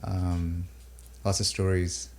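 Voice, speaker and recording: A man from Pakistan and the UAE, native Urdu speaker, talking thoughtfully about his music in a halting, filler-filled way. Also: low voice, little pitch movement. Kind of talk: reflective interview talk.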